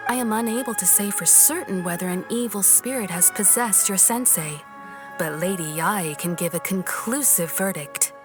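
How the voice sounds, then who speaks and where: A woman playing a composed, courteous shrine maiden in a video game, speaking formally and deliberately. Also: mid-range voice, over music, natural voice.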